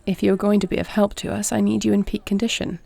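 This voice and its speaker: A woman playing a duty-bound warrior queen and tender wife in an intimate audio roleplay, speaking in a measured, earnest, formal way. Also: medium pitch, flat delivery, vocal fry, warm tone, no echo. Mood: caring, firm. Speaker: voice actor.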